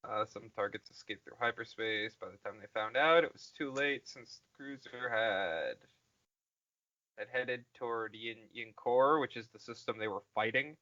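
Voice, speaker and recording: A man's voice: mid-range voice, normal speed, sharp tone, no echo, muffled recording. A man co-hosting a podcast, casually reading through and commenting on material with some swearing. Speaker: podcast co-host. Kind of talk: casual, profane article read-through with commentary.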